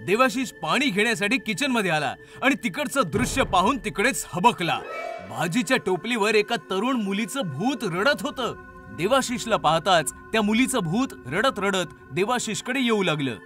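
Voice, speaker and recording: High-pitched man narrating horror, music behind. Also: lively intonation, normal speed, fairly bright tone. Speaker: story narrator. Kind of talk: scripted horror story narration.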